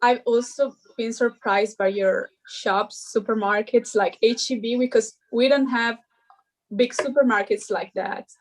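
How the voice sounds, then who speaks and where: A teenage girl with an Italian accent talks in an interview, speaking English slowly and pausing to find her words. Her voice sits a little high. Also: steady tone, low-quality recording. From Italy. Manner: halting, searching for words. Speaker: exchange student.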